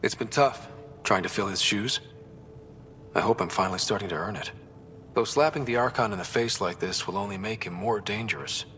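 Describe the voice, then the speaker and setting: A man's voice: on the low side, fairly quick, bright, forceful tone, slight background noise. A man playing a determined, earnest young space explorer and leader in a video game, decisive and sincere. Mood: reflective. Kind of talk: video game cutscene acting.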